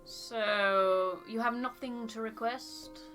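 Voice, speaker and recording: A woman voicing a reserved, sceptical, businesslike officer in a game, formal and measured. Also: on the high side, fairly even intonation, a bit bright, faint music behind. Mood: reserved, impatient.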